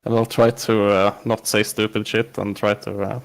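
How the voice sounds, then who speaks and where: A man in his thirties from Sweden with a Swedish accent, speaking English as a second language while down with a cold, answering interview questions in a halting way with many filler pauses. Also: lowish voice, flat delivery, gravelly. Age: 30s. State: has a cold. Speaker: podcast guest. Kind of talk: casual interview chat.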